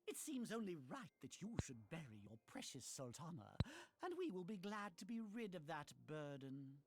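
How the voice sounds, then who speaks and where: An actor playing a smug, scheming little politician in a fantasy game, pompous and mock-courteous. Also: male voice, fairly high pitch, very animated, moderate pace, background noise. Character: smug scheming aristocratic male politician, small and wealthy. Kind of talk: theatrical game cutscene acting.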